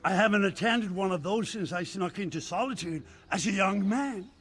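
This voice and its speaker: Reflective worldly man, high light voice, measured. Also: a bit bright, a little hiss or hum. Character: worldly man, knowing and philosophical. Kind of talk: video game dialogue.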